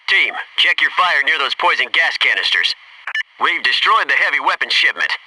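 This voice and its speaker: A man's voice: a little high, recorded from a distance, background noise, lightly acted. A man playing a disciplined, alert American Navy SEAL squad mate in a military video game, giving clipped, businesslike tactical reports.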